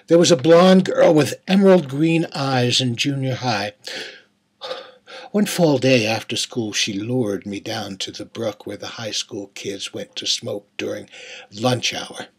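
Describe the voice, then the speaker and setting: Man to play male serial killer, dramatic monologue. Mid-pitched, rough-breathy voice, wistful menace, halting and confiding. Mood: wistful, unsettling. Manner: intimate, halting, dropping to near-whispers. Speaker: writer reading own monologue.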